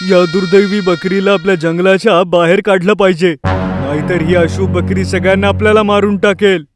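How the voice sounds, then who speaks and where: A man's voice: sits high, fairly even intonation, normal speed, music in the background, natural voice. A man voicing hostile forest animals in an animal story, condemning and indignant. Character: hostile accusing male forest animals, bear among them.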